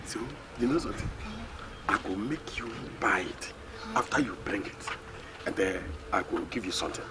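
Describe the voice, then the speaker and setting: A man's voice: very high voice, lively intonation, fairly quick. A man with a Ghanaian accent playing a domineering, indignant elder of the house in a comic drama, insistently repeating his phrases. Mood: coaxing, scheming. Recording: very noisy, clicking audio.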